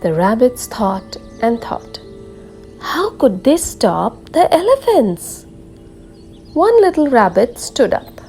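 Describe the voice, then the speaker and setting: A woman reading a children's story aloud like a teacher, expressively and with careful, deliberate enunciation. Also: fairly high pitch, huge pitch swings, vocal fry, mellow, soft background music.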